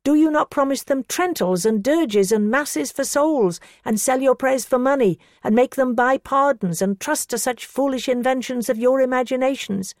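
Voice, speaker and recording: A defiant and devout woman, impassioned and scolding, as a woman reader puts her on. Her voice climbs higher and grows louder as she argues. The recording is close and dry. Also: moderate pace, hardly any pauses, dry recording. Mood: fervent. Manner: impassioned, scolding, rising pitch. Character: defiant pious woman facing her accusers.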